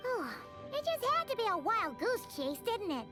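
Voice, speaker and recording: A woman's voice: very high-pitched, big pitch swings. A woman voicing a tiny, chirpy female companion creature who eagerly chimes in with exclamations. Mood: puzzled. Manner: bouncy, exclamatory, quick to chime in. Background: game soundtrack music.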